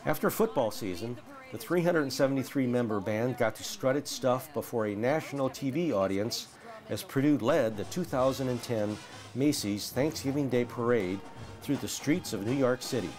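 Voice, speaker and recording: A man's voice: medium pitch, moderate pace, darkish tone. Male newsreel narrator over prominent music. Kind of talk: scripted narration.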